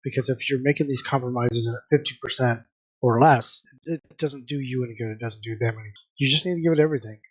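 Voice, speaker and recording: A man's voice: medium pitch, fast talker, slightly rough, poor audio. A man co-hosting a book club podcast, chatting casually and stressing his points by repeating them.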